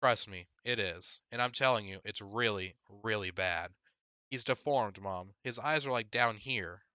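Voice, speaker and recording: Man acting a grumbling, bright-voiced schoolboy. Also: fairly low pitch, a little slow, smooth, dry recording, highs cut off. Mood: insistent. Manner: emphatic, grumbling. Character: opinionated, reluctant middle-school boy arguing with mother.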